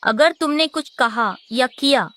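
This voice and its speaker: A woman's voice: high voice, lively intonation, measured pace, a bit bright, dry recording. A woman voicing a caring, protective mother bird in a children's cartoon. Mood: firm. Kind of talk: animated children's story acting.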